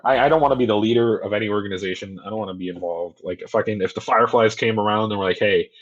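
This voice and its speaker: Deep-voiced young man, lo-fi. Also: rapid-fire, a crowd in the background. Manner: rambling, heavy use of "like", swearing, self-mocking. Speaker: podcast co-host. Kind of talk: casual chat.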